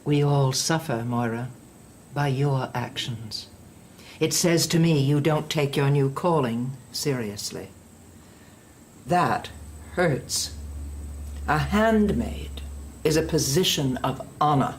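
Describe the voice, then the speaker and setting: Slow, preachy, stern indoctrinator woman. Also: rumbling low, slight background noise. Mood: wounded, commanding. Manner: preachy, measured, condescending. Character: stern older female indoctrinator, sanctimonious and controlling. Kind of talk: audio drama acting.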